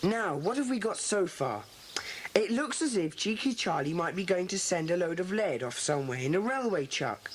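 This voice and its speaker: A woman playing a bossy, earnest schoolboy who leads a children's club, brisk and commanding in an audiobook dramatisation. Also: lowish voice, animated, natural voice. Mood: earnest, decisive. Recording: hissy old cassette dramatisation.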